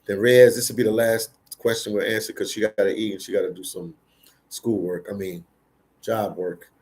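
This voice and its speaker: A man's voice: fairly low pitch, fairly even intonation, normal speed, a little mellow. A middle-aged American man hosting a casual livestream, reading viewer comments aloud in a laid-back, slangy, teasing way. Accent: American English.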